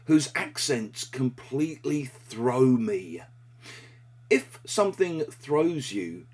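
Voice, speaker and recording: A man presenting a short English lesson, explaining a phrase in a measured, clear teaching manner. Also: mid-range voice.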